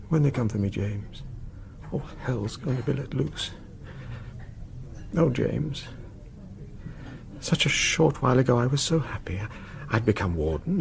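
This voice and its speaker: An actor playing a haggard middle-aged college warden in a radio drama, halting and anguished, tormented by nightmares. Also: male voice, fairly low pitch, vocal fry, a little hiss or hum. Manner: halting, anguished, broken phrases.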